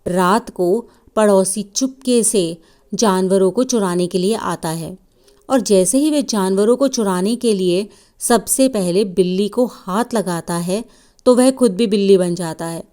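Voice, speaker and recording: Mellow, unhurried female storyteller. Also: medium pitch. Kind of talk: scripted children's story narration.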